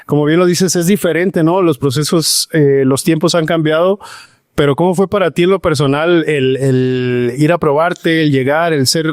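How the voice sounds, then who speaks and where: A man's voice: on the high side, dry recording, studio quality. A man with a Mexican Spanish accent hosting a podcast, chatty and slangy, dropping güey into his questions. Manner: slangy, says güey often. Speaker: podcast host. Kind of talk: casual podcast interview.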